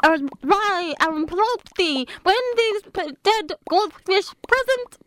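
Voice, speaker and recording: A woman's voice: extremely high pitch, lively intonation, slow pace, exaggerated voice. A woman voicing a small dead pet goldfish in a comedy audio drama, cartoonish, hesitant yet snippy.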